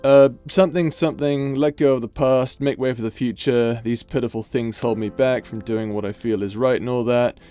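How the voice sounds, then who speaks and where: A man playing a tender, weary space pirate in an intimate ASMR roleplay, speaking softly and hesitantly over music. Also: medium pitch, steady tone, moderate pace, hardly any pauses, lightly acted. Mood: wistful, playful. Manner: soft, hesitant, confiding.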